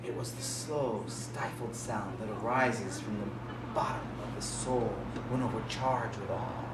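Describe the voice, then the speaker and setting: A man's voice: on the low side, steady tone, unhurried, close to an everyday voice. A man giving a theatrical gothic horror reading as a nervous, obsessive madman confessing to murder. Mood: brooding, hushed. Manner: theatrical, swinging from hushed to loud. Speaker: dramatic reader. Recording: roomy, distant microphone, noisy background.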